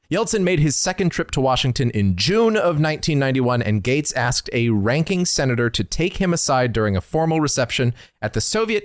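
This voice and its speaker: Animated man on a dry-sounding podcast. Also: mid-range voice, dry recording. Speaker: podcast host. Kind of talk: casual podcast discussion with quoted passages read aloud.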